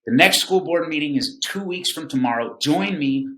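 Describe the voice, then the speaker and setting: A man's voice: on the high side, expressive, moderate pace, a bit bright, roomy sound, rough recording. A man playing an indignant, combative father delivering his opinion piece emphatically and rhetorically. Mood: rallying. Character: indignant, combative conservative father writing against school program.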